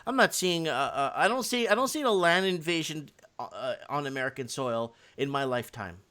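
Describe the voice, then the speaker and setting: A man hosting a live call-in stream, chatting casually and unscripted in a halting, rambling way. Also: fairly high pitch, animated, bright, forceful tone.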